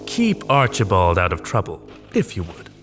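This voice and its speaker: A man's voice: deep voice, huge pitch swings, normal speed, a bit bright, full character voice. A man playing a noble, composed knight commander in a fantasy audio drama, formal and reserved. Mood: calm, assured. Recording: voice over background music.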